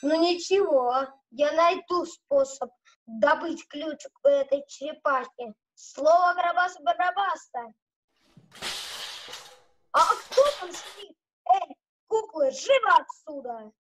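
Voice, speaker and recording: A child acting a gruff, greedy, bullying puppet-theatre master in an amateur audio play. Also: sits high, slow pace, fairly bright tone. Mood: scheming. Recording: dull, noisy home recording.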